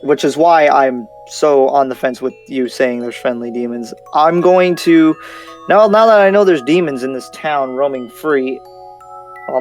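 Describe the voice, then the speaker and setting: A man's voice: fairly high pitch, a little mellow, natural voice. A man playing a blunt, skeptical, battle-worn demon slayer in an improvised anime game roleplay, speaking frankly and emphatically with repeated, restarted phrases. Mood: wary, doubtful.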